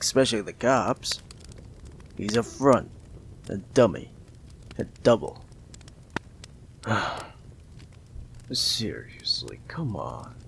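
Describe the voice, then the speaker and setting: A man playing a gravelly, smug mafia boss. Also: a little hiss or hum, exaggerated voice. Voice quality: low, gravelly, drawling delivery with drawn-out pauses. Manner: teasing, condescending, calls listener darling. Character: smug possessive male mafia boss, menacing and flirtatious. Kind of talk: ASMR audio roleplay.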